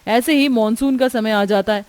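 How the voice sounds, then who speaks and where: A woman's voice: fairly high pitch, moderate pace, a little mellow, no echo. A woman narrator reading a scripted story as a storyteller.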